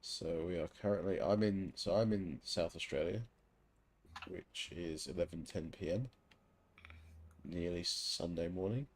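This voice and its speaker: A man's voice: low-pitched, unhurried, slight background noise. A man from England with an English accent, chatting casually as a live streamer while gaming, teasing and swearing casually.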